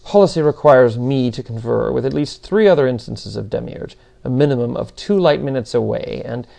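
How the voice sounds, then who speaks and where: A man's voice: mid-range voice, a little fast, creaky, warm tone. A man voicing an exasperated, haughty sock-puppet machine intelligence in an audiobook, fussy and incredulous as it questions and grumbles. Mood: grumbling.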